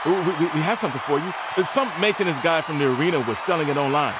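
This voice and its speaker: A man playing a tender older father in a video game, speaking in a halting, tentative way. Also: a little high, a loud crowd, natural voice. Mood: sheepish. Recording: loud arena noise behind.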